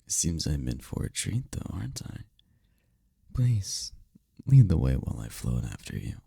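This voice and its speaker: Man acting a gravelly, low-voiced incubus lover. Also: wildly swooping pitch, dark tone, no room sound, clean studio sound, heavily acted. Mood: teasing, seductive. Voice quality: low, gravelly, husky, breathy.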